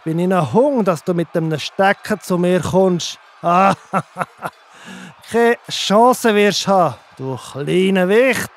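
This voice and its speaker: A boastful giant warrior from a children's audio play, with a Swiss German accent. He sneers in a strikingly high voice and drags his words out slowly, full of scorn. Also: lively intonation, heavily acted. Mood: sneering. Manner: taunting, drawn-out, with scornful laughs. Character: mocking giant Philistine warrior, boastful bully. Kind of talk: children's audio drama acting.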